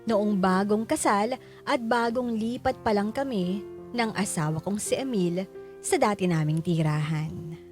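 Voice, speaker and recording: A woman's voice: medium pitch, measured pace, music in the background, lightly acted. A woman playing a shopkeeper in her forties, narrating her own story in an earnest, reflective way for a radio drama. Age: 40s. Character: forty-three-year-old woman shopkeeper telling her life story. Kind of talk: scripted first-person letter narration.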